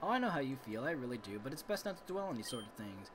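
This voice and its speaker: A man's voice: mid-range voice, sing-song, quick pace, noisy background, natural voice. A man voicing a doting, worried mother from a visual novel, with game music behind. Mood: soothing. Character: doting, worried mother seeing her child off. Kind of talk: reading visual novel dialogue aloud.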